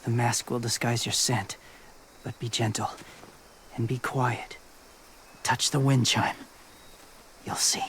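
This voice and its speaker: A gentle young male survivor speaks in a slow, mid-pitched voice with faint noise behind it. He is hushed, solemn and earnest, in the manner of a dramatic video game. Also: level tone. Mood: calm, persuasive. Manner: soft-spoken, hushed, halting and earnest.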